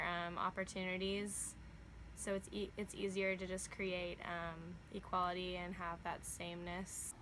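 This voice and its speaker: Young female student; bright, flat, hesitant read. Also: mid-range voice, a little hiss or hum, poor audio. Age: young adult. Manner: hesitant, lots of ums. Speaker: student interviewee. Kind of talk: casual on-camera answers.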